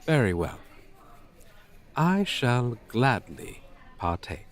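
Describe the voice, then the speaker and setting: A man's voice: mid-range voice, sing-song, slow pace. A man voicing a proud, scornful dragon teacher in a roleplay, speaking in pompous, stilted, formal phrases. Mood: smug, condescending. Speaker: roleplay voice performer. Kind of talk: ASMR roleplay monologue, theatrical.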